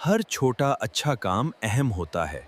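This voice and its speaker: A man voicing a friendly, helpful vegetable in a children's cartoon, with an expressive, moralizing manner. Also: medium pitch. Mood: warm, earnest.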